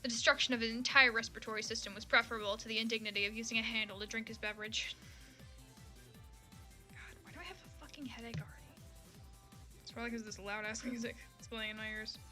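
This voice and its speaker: Female streamer, bright and highish, informal comic read-aloud, self-deprecating and sweary, faint music. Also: fairly even intonation, fast talker. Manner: sweary, self-mocking, giggly asides. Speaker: livestreamer reading fan fiction. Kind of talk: casual livestream chat and comic read-aloud.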